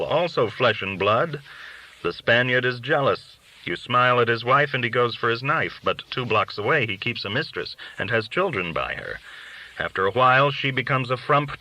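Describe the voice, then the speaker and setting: A man's voice: mid-range voice, animated, normal speed, sharp tone, poor audio. A man reading an audiobook, voicing a worldly, cynical, boastful underworld dealer who talks in glib, argumentative rhetorical questions. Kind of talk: audiobook character dialogue.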